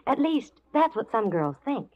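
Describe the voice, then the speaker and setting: A woman's voice: fairly high pitch, big pitch swings, unhurried, a little hiss or hum. A woman presenting scripted 1940s radio advertising patter with a partner. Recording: muffled 1940s radio recording.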